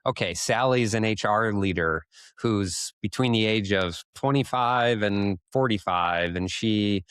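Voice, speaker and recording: A man's voice: lowish voice, a little slow, fairly bright tone, smooth, no echo, studio quality. A man co-hosting a podcast, thinking aloud in long conversational sentences that lead into questions. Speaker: podcast co-host. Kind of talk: conversational commentary leading into questions.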